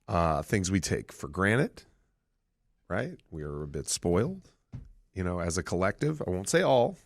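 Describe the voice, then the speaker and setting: A middle-aged man hosting a radio talk show in a studio, chatting casually with animated storytelling. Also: unhurried, vocal fry, no room sound. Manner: animated, lively storytelling with big pitch swings. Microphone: studio microphone.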